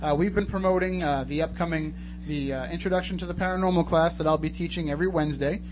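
A man with a fairly high voice hosts a casual radio talk show at a quick clip. His delivery is chatty and joking. There is clear humming noise on the studio recording. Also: fairly even intonation. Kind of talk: casual chat. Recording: noisy studio audio with hum.

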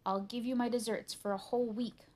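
A woman voicing an eager, anxious schoolboy in a children's storybook, pleading and excitable. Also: on the high side, fairly quick, lightly acted.

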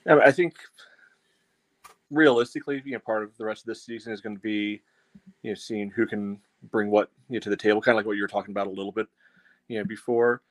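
Male co-host, lowish flat delivery, rambling casual sports chat. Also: quick pace, no room sound, rough recording. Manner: rambling, peppered with "you know". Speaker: co-host of a soccer fan podcast.

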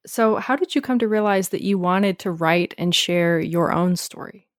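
Woman interviewer, fairly low voice, measured questions, dry room. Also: dry recording.

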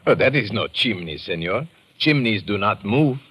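An actor playing a courteous, deferential Panamanian lieutenant and guide in an old radio drama, with formal, careful phrasing. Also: male voice, fairly low pitch, expressive, moderate pace, fairly bright tone, breathy edge, no echo. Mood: earnest. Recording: noisy vintage radio recording.

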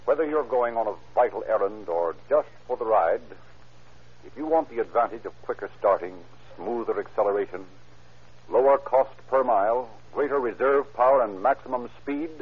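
A man's voice: medium pitch, lively intonation, moderate pace, husky. An American man announcing and reading scripted ads on 1930s radio in a formal, polished salesman's delivery. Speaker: announcer and narrator. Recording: muffled old radio broadcast.

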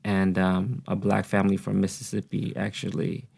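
Chill filipino-born guy in his 40s chatting on a podcast, kinda gravelly. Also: low voice, flat delivery, normal speed, darkish tone. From Philippines. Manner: laid-back, reflective, often says "you know what I mean". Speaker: guest, author. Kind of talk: casual podcast interview.

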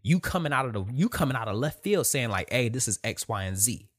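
A soft-voiced man giving a blunt, emphatic motivational talk, repeating his points. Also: mid-range voice, big pitch swings, fast. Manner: emphatic, repetitive, direct with profanity.

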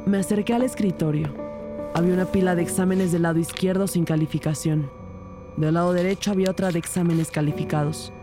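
A woman playing an observant detective who narrates a crime story in a measured, matter-of-fact way. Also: on the low side, fairly even intonation, over music, close to an everyday voice. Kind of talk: scripted first-person narration of crime story.

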